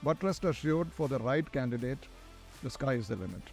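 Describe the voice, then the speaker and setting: A man's voice: mid-range voice, expressive, brisk. A middle-aged man with an Indian accent, giving prepared interview answers as an air traffic controller. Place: large glass-walled airport tower room. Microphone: lapel. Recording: music under the voice. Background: background music.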